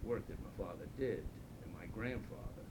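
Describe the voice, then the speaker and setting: An older man with a low voice, born in Ukraine, recalls his early years at an unhurried pace, pausing often as he searches for details. The tape is noisy, with a steady rumble underneath. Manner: slow, reflective recollection with pauses. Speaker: oral history interviewee. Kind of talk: oral history interview.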